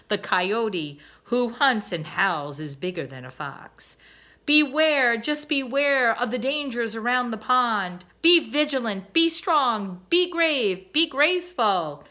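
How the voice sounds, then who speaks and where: A woman's voice: medium pitch, bright, forceful tone. A woman voicing a proud father swan who gives a grand, emphatic speech in a storybook.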